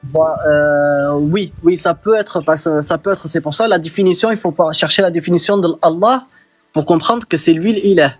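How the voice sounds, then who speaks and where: A man's voice: fairly high pitch, normal speed, faint music behind. A man whose first language is Arabic, explaining religious ideas in a live debate, hesitant with many fillers and repeated words. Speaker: guest debater.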